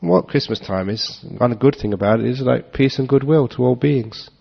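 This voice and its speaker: A man giving a relaxed Dharma talk to an audience, joking and chuckling as he answers questions. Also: mid-range voice, moderate pace, creaky, husky. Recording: dull lo-fi talk recording.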